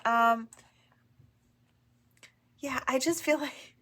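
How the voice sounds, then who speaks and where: A woman with a stuffy nose from allergies, gently giving a channeled tarot reading full of affirmations, often pausing with "um" and "right?". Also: sits high. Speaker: tarot reader. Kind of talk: channeled oracle reading to camera.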